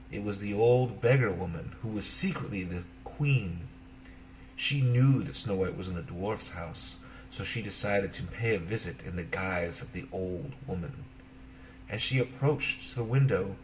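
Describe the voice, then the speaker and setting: Guy with a lowish voice reading kids a fairy tale, expressive, bit of noise behind him. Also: rough recording. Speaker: storyteller. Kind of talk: children's fairy tale narration.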